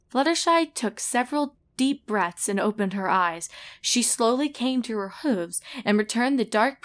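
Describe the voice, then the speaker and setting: A woman reading scripted storybook narration in a dramatic, expressive manner. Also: medium pitch.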